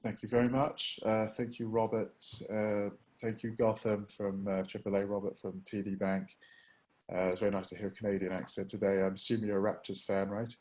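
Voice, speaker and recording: A man's voice: fairly low pitch, level tone, a little fast, darkish tone, muffled recording. A man hosting a business webinar, moderating the discussion in a conversational way. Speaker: webinar host.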